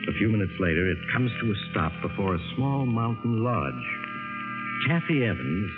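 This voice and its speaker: Ominous low-voiced radio storyteller. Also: male voice, big pitch swings, normal speed. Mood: measured suspense. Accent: American. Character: ominous, inviting storyteller of strange tales. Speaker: host and narrator of a mystery anthology. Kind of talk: 1940s radio drama narration. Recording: scratchy tinny 1940s radio, loud music.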